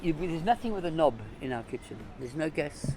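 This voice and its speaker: A man's voice: fairly high pitch, expressive, fairly quick. Enthusiastic man in a noisy urban setting. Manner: enthusiastic, informal. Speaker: restaurant owner and cook. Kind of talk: casual interview talk. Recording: loud ambient urban noise.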